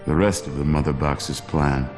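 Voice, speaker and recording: A man's voice: rumbling low, fairly even intonation, normal speed, over music. A man playing a grim, terse superhero tactician in a video game, speaking in a clipped, stern way. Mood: terse, commanding.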